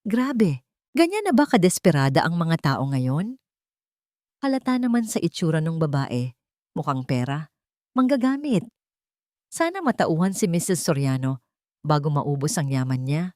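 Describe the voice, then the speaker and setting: A woman's voice: sits low, sing-song, moderate pace, long pauses, a little mellow, no room sound, studio quality. A woman voicing spiteful, judgmental gossips in a story, with a scornful, catty manner.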